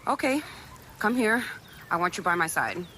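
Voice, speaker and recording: A woman's voice: on the low side, very animated, moderate pace, sharp tone, a little hiss or hum. An actress playing a bossy, accusing young woman, demanding and confrontational, in an audio drama.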